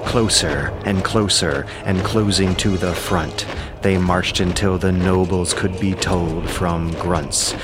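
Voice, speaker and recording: A man's voice: sits low, noisy background. A man delivering dramatic, verse-like scripted narration of an ancient epic as narrator.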